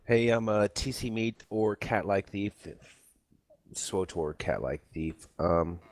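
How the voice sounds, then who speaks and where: A man with a very deep voice talks in a loose voice chat. He is blunt and jokey, and he sounds relaxed among friends. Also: slow. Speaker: guest gamer. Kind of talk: casual voice chat.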